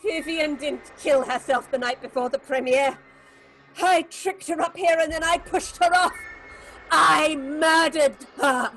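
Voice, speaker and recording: A woman's voice: high voice, measured pace, fairly bright tone, soft background music, rough recording. A woman playing a guilt-crazed, jealous actress in a theatrical horror drama, ranting melodramatically as she confesses. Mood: bitter, anguished.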